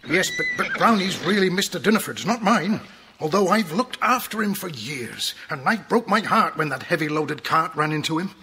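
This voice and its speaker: Old country farmhand, kind and fretful, bright highish voice, noisy tape. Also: male voice, lively intonation, normal speed. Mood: worried. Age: older. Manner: rustic, hesitant turns of phrase. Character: kindly, anxious old farm worker devoted to his horse. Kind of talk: children's audio drama acting. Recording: clearly noisy cassette transfer.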